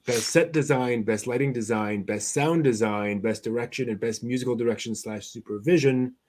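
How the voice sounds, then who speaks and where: A man hosting an anime news show, chatting casually through items with a somewhat halting delivery. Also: mid-range voice, darkish tone.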